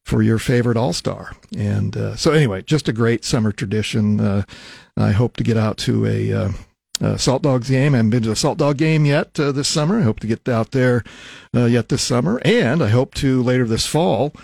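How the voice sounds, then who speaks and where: Older male, American, radio talk host; casual, halting monologue, close and dry studio sound. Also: mid-range voice, vocal fry, darkish tone, no echo. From Nebraska, United States. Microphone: close studio microphone.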